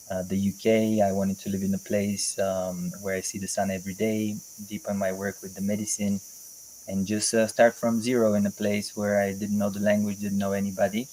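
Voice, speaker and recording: Guest: man, low voice, thinks aloud with many pauses, video-call sound. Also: a little mellow, a little hiss or hum, rough recording. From Bulgaria. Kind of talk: casual interview. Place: home in a mountain forest.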